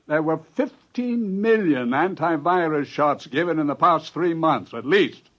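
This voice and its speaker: An actor playing a male research scientist who explains things in a brisk, matter-of-fact way, in 1950s radio drama style. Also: fairly high pitch, expressive, fairly bright tone. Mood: explaining. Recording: dull 1950s radio broadcast.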